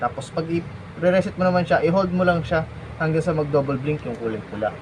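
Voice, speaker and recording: A man's voice: a little high, fairly even intonation, fairly quick. A man with a Filipino accent casually giving a step-by-step product explanation, as a seller demonstrating a device. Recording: very noisy room with humming ambience.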